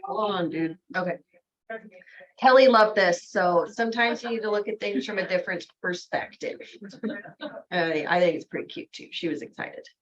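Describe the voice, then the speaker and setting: A woman hosting a casual office sales meeting, brisk and friendly, laughing often. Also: medium pitch, a bit bright, highs cut off. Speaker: meeting host.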